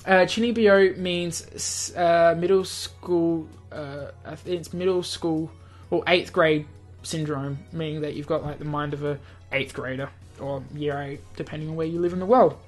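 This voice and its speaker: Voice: mid-range voice, fairly even intonation, normal speed, soft background music. A person reading a visual novel aloud in a let's play, stumbling over lines now and then and adding off-the-cuff joking asides.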